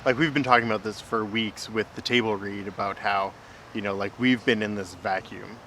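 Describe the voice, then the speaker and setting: A man's voice: lowish voice, steady tone, a little fast, bright, forceful tone. A man hosting a casual chat interview, asking questions and explaining in an informal way. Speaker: vlog host interviewing his writing partner. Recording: clearly noisy outdoor urban ambience.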